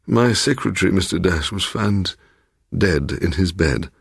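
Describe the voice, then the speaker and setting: A man voicing a pompous, insinuating, sinister gentleman obsessed with the occult in a dramatised audiobook. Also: deep voice, expressive, a little slow, gravelly, slightly rough, no room sound, clean studio sound, heavily acted. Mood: aggrieved, self-justifying.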